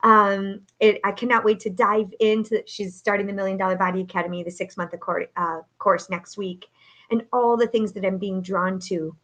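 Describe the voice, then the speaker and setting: A woman's voice: medium pitch, little pitch movement, moderate pace, fairly bright tone. A woman hosting a live online talk as a coach, upbeat and preacherly, speaking from a home setup. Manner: upbeat, preacherly, many fillers. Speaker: host and coach. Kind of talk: live-streamed motivational talk. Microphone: home setup, no studio.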